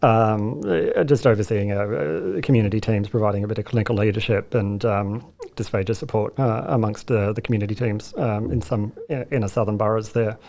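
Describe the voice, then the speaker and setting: A man's voice: lowish voice, fairly quick, gravelly, few pauses, darkish tone. A man in his thirties with an Australian accent, chatting as a guest on a podcast, talking loosely with lots of fillers and restarts. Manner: chatty and self-deprecating, many fillers and restarts. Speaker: guest, speech and language therapist. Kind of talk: casual podcast interview.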